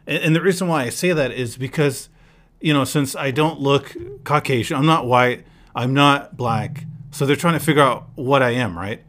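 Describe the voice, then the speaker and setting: A laid-back American-born man chatting on his podcast at a middle pitch. He rambles through personal stories with plenty of fillers, dropping quick quoted asides as he goes. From United States. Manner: relaxed storyteller, quoting people in quick asides. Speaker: podcast host. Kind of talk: casual podcast chat.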